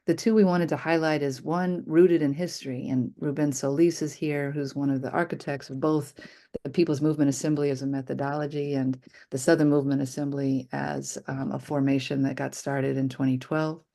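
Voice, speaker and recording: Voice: mid-range voice, level tone, a little fast, vocal fry, mellow. A person giving prepared but informally spoken introductory remarks to an online movement gathering. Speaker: event speaker introducing a movement assembly.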